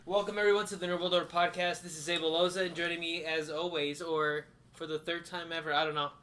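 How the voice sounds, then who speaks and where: A man's voice: a little high, flat delivery, quick pace, pushed and bright, soft background music. A man hosting a casual group podcast, bantering with his co-hosts. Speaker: podcast host.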